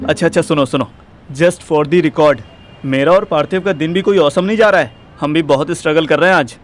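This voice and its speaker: A man's voice: a little high, brisk, close to an everyday voice. A man playing a hot-headed, jealous, teasing young boyfriend in an audio drama, with an Indian accent and exclamatory delivery that mixes in English. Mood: suspicious. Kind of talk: Hindi audio drama acting. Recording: music behind the voice.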